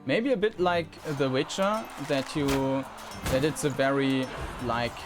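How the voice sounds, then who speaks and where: A man giving casual commentary on a game preview, with halting, hesitant phrasing. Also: a little high, expressive, music in the background.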